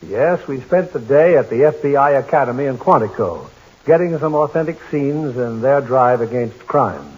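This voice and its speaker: A middle-aged man hosting a 1940s radio drama broadcast, courteous and measured in a formal manner. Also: medium pitch, expressive, a little mellow, lo-fi sound. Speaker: radio drama host and producer.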